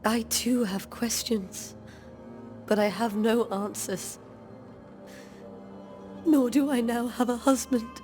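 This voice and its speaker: An actress playing a grieving, devout colonial wife in a video game, speaking in formal, measured, old-fashioned phrases. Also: female voice, on the high side, steady tone, darkish tone, faint music behind. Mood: sorrowful. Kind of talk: dramatic video game acting.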